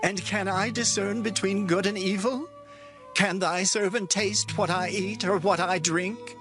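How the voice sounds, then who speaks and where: An actor playing a humble, weary man of eighty in a dramatized scripture, speaking slowly and pleadingly. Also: high voice. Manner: slow, drawn-out, pleading archaic speech. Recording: music behind the voice.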